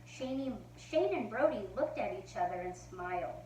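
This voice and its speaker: Woman, parent reading a picture book, slow, higher voice, roomy echo. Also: expressive, dark tone. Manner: slow, careful read-aloud. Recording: roomy echo, far from the mic, faint hum.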